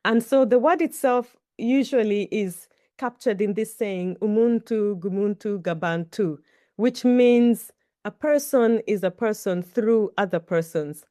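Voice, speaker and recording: A woman's voice: mid-range voice, darkish tone, no room sound. Woman, Kenyan accent, Kikuyu native speaker, middle-aged, reflective storyteller, dry close recording. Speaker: guest. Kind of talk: reflective interview talk.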